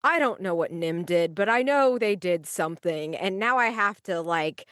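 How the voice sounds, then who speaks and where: A woman's voice: on the low side, expressive, normal speed, fairly bright tone, no echo. Woman player, very dry close sound. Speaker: tabletop role-playing player. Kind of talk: casual chat.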